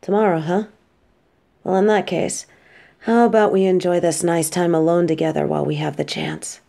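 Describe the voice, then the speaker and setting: A woman playing a casual, affectionate, teasing supervillain who gets flustered, speaking haltingly and expressively in an audio drama. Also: on the low side, steady tone, gravelly, mellow. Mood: soft, hesitant. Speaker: voice actress in an audio roleplay. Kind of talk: intimate scripted audio drama addressed to a listener.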